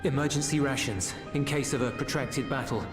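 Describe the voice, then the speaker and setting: A man's voice: medium pitch, brisk, roomy sound, over music, natural voice. Casting: male actor for reserved but caring fantasy fighter, medium voice, faintly awkward, game cutscene with scoring. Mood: awkwardly caring.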